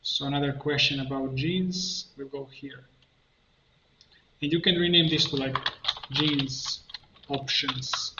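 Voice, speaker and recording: A man narrating a step-by-step software tutorial, thinking aloud in a halting way as he works. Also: mid-range voice, steady tone. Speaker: tutorial instructor, coach. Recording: muffled, roomy, faint noise, keyboard clicks. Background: computer keyboard typing and clicking.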